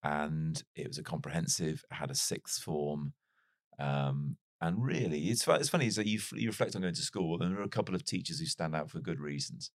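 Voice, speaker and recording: A very deep male voice with a mild British lilt from a man who grew up in North Wales. He tells stories in a reflective, self-deprecating way. The sound is dry and close, as if recorded on a studio mic. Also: fairly quick, no echo. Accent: mild British English, not strongly Welsh. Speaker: podcast guest. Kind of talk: casual interview storytelling.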